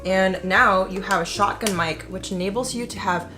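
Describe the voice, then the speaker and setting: Young female vlogger, mid-pitched, chatty and slangy, emphatic tutorial delivery, faint music underneath. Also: moderate pace. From Indonesia. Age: young adult. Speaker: vlogger and content creator. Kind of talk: casual scripted-feel tutorial vlog to camera.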